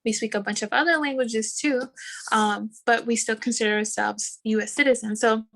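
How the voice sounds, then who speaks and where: Lively woman from New York. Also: on the high side, normal speed, creaky. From New York City. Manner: lively storyteller, acts out quoted dialogue. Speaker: panelist. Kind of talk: casual panel chat.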